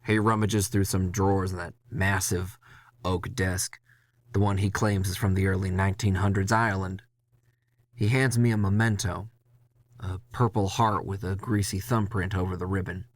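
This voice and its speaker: A man's voice: low-pitched, flat delivery, moderate pace, no room sound. A man narrating a scripted first-person audio drama in a wry, gritty, world-weary storytelling style.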